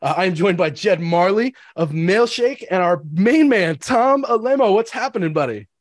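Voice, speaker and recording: A man hosting a live sales webinar, sounding stuffy from a cold and pitching with energetic hype. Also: normal speed, no room sound. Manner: energetic, hyped promo delivery. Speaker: show host.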